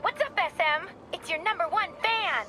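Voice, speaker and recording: An actress playing a gleeful, attention-hungry young internet prankster villain in a video game, gushing and taunting. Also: female voice, extremely high pitch, normal speed, over music. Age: young adult.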